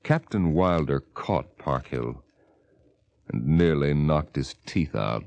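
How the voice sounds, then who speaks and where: A man narrating a 1950s radio drama as host, with measured, dramatic, literary delivery. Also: very deep voice, vocal fry, dull audio. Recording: vintage 1950 radio recording. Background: music.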